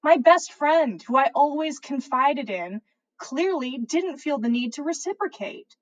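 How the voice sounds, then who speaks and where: High-pitched woman narrating a story. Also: expressive, a little slow, dull audio. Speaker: podcast host reading fan fiction. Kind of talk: expressive first-person story narration.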